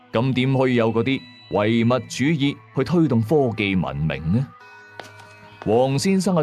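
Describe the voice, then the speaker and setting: A man's voice: fairly low pitch, darkish tone. A man with a Hong Kong Cantonese accent narrating a first-person horror story as a storyteller.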